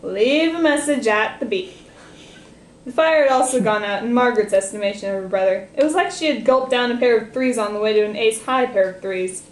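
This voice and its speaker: A woman's voice: a little high, quick pace, hardly any pauses. A woman reading a comic story aloud in a playful, giggly manner. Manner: playful, often breaking into giggles. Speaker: reader. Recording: roomy echo with noise.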